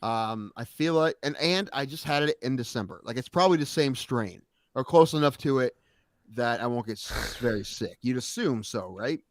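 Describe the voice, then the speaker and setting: A man's voice: mid-range voice, animated, normal speed, dry recording. A man co-hosting a podcast in casual chat, rambling and swearing, a little sniffly. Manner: profane, rambling, worried. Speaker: podcast co-host.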